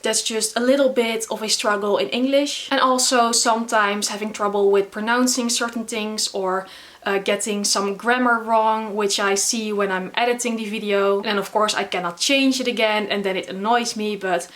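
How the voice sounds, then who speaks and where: A young Dutch woman with a Dutch accent, talking casually to camera in English, often pausing with fillers. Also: medium pitch, steady tone, fairly bright tone. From the Netherlands. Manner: chatty, frequent filler words and restarts. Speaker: booktuber. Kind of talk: casual vlog talk to camera.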